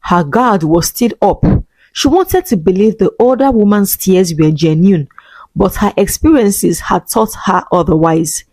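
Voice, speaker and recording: A woman's voice: mid-range voice, measured pace, darkish tone, dry recording. Woman narrating, close and very dry. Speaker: story narrator. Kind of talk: scripted dramatic narration.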